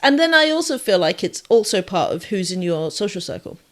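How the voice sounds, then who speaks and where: A woman's voice: medium pitch, big pitch swings, brisk. Laid-back woman with a southern English accent. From Jamaica, raised in southern England. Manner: thinks aloud with restarts and small laughs. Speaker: podcast co-host. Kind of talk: casual conversational chat.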